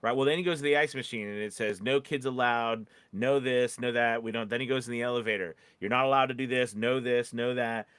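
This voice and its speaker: Guy coaching in a casual podcast chat, encouraging, informal, mid pitch, really dry close recording. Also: fairly even intonation, fairly quick, hardly any pauses, a bit bright, clean tone, no echo. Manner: informal, encouraging, often checks in with "you know what I mean". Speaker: podcast co-host and voice-over coach. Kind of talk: casual advice chat with acted-out example quotes.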